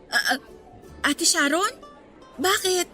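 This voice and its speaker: Good-natured student girl, high pitch, bright tone, Filipino accent, playful scolding, radio drama, background music. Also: lively intonation, slow, full character voice. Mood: obliging. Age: young adult. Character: good-natured, forgiving young female college student. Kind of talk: Tagalog radio drama acting.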